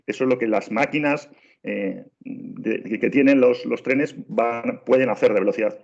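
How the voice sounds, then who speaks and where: A man's voice: medium pitch, normal speed, gravelly, a little mellow. A middle-aged man from Spain with a Spanish accent, giving a train travel training on a video call, insistent and full of restarts. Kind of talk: webinar presentation. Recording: dull lo-fi video call audio.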